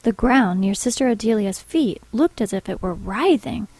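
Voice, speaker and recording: Actress as an earnest nun, close and dry. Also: female voice, mid-range voice, animated, a little mellow, dry recording. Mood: grave narration. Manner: measured storytelling, primly earnest. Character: earnest, proper nun recounting strange events. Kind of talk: dramatic narration in audio drama.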